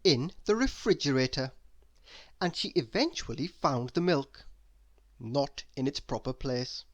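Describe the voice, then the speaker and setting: A woman reading a children's story aloud as an expressive narrator. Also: very deep voice, measured pace. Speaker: story reader.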